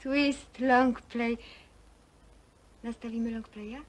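Chatty young housemaid. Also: female voice, fairly high pitch, fairly even intonation, drawn-out words. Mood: playful. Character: young housemaid playing at posh airs, chatty, wistful. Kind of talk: naturalistic 1970s film acting.

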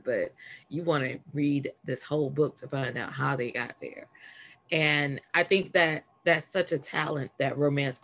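A woman author speaking earnestly in a casual panel talk over a video call from home. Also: very deep voice. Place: home room.

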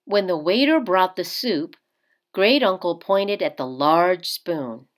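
A woman reading a children's picture book aloud in an expressive, deliberate storytelling manner. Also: on the low side.

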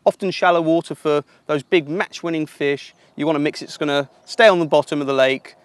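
A man's voice: on the high side, a little fast, clear, resonant tone, dry recording. Man explaining fishing tips. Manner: relaxed explanatory, practical tips. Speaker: angling coach. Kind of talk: instructional voice-over and commentary. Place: outdoors at a lake.

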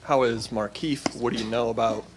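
A man's voice: mid-range voice, steady tone, moderate pace, crowd noise. A man, a sports reporter asking questions at a press conference. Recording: noisy press room.

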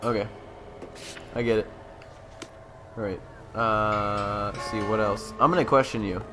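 A man's voice: fairly low pitch, fairly even intonation, unhurried. A young man giving casual, jokey commentary on a game, slangy and thinking aloud. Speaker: gamer, walkthrough host. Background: faint game music.

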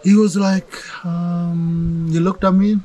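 A man's voice: sits high, little pitch movement, takes it slowly, dark tone. A man in his thirties from Kenya with a Meru accent, chatting and joking as an interview guest outdoors. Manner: joking, laughs often. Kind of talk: casual interview chat. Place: outdoors in a rural yard. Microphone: camera mic, seated mid shot.

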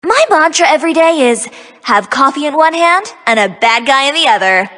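A woman's voice: sits high, expressive, moderate pace, fairly bright tone, in a large hall. A woman voicing a young magical-girl archer heroine for a video game, cocky, peppy and teasing, with sassy, theatrical delivery. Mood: cocky, mocking. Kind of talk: video game character voice lines.

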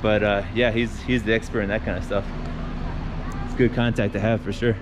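A man's voice: on the low side, level tone, a little fast. A man in his thirties casually chatting on a walking tour, easily impressed as he films himself outdoors with a handheld camera. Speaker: vlogger. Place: outdoors on city streets. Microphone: action camera held at arm's length. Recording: outdoor street noise, passing cars.

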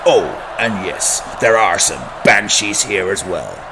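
A man voicing an excitable fantasy sports announcer in booming, theatrical parody commentary. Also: takes it slowly, gravelly, a crowd in the background, background noise, heavily acted. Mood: hyped.

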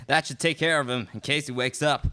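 A man's voice: mid-range voice, fairly even intonation, brisk, bright, forceful tone, lightly acted. A young man playing a cocky, menacing outlaw leader in a live student radio play. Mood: confident. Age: young adult. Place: classroom studio, indoors. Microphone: stand microphone. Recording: noisy live room recording with clicks.

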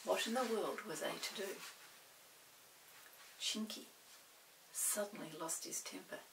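A woman in her sixties narrating a children's story expressively, in a dramatic, deliberate manner. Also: medium pitch, vocal fry, far from the mic, noisy background. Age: 60s. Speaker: storybook reader. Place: small indoor set with dark backdrop.

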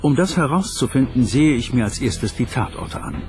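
A man delivers a scripted documentary voice-over in the first person as an investigator. His pitch is average, and music is clearly heard behind him. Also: lively intonation, normal speed.

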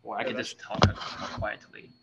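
A young man talking casually and softly on a video call from a laptop in a lecture hall. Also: moderate pace. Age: young adult. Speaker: co-speaker. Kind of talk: casual chat. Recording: dull laptop mic with hum.

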